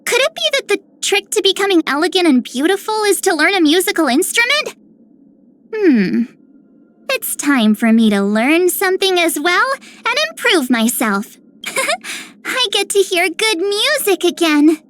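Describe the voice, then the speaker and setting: A woman's voice: very high voice, sing-song, moderate pace, a bit bright, exaggerated voice. A woman voicing a sweet, earnest, girlish little prophetess in a video game. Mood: curious, cheerful. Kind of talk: scripted game character lines.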